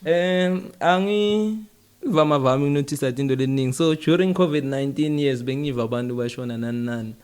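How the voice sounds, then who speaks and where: Male interview host, South African accent, mid pitch, informal, code-switching conversational style. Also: a little fast, darkish tone, clean tone. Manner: informal, switches between languages mid-sentence.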